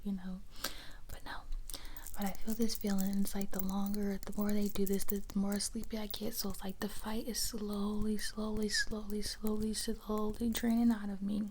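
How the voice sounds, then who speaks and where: A woman whispering an ASMR storytime in a breathy, unvoiced voice, rambling and repeating words and phrases for soothing effect. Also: medium pitch, flat delivery, normal speed, a little mellow, a little hiss or hum. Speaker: ASMR creator.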